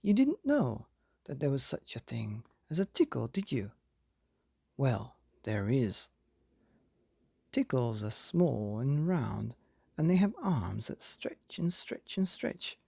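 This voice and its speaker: A man reading a children's bedtime story expressively, with deliberate dramatic pauses, as if speaking directly to young listeners. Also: mid-range voice, wildly swooping pitch, creaky, dark tone, slightly rough, no room sound, clean studio sound. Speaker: storyteller, podcast reader.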